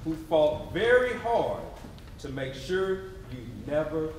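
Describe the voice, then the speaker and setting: A man performing as an impassioned history narrator in a staged reading, with emphatic, deliberate phrasing. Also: a little high, sing-song, slight background noise. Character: impassioned male narrator of civil rights history. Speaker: stage performer in readers theatre. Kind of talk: dramatic staged reading, oratory. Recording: echoey hall, distant mic, audience responses.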